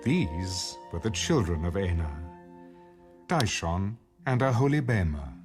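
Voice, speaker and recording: A man narrating an audio Bible, reading scripture in a measured, solemn way with careful enunciation. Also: low-pitched, very animated, music in the background.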